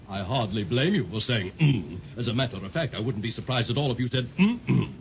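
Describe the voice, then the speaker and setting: An actor playing a friendly, pompous but timid dragon with florid, hesitant speech in a 1930s children's radio serial. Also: male voice, mid-range voice, expressive, normal speed, natural voice. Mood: flustered, relieved. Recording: dull, noisy 1930s radio transcription.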